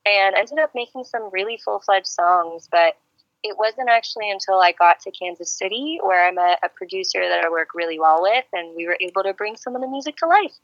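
Bright-voiced chatty woman singer-songwriter. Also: mid-range voice, expressive, fairly quick, no echo, lo-fi sound. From Serbia. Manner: friendly, chatty storyteller. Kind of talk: phone-in radio interview. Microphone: phone line.

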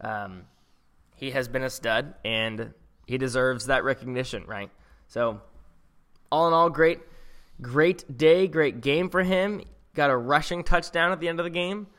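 An excitable man chats about football as a podcast co-host, his voice bright and forceful. He rambles and restarts his sentences as he goes. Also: mid-range voice, measured pace, clean tone. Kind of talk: casual sports chat.

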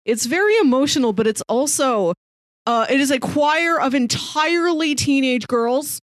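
American woman, high pitch, dry sound, casual film chat, animated storyteller. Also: normal speed, no echo. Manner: animated storytelling, laughing through sentences, voices imagined quotes. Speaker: podcast co-host.

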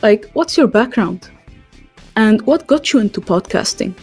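Woman podcast host, highish husky voice, reading scripted lines over music. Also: expressive, unhurried, darkish tone. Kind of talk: scripted introduction and questions.

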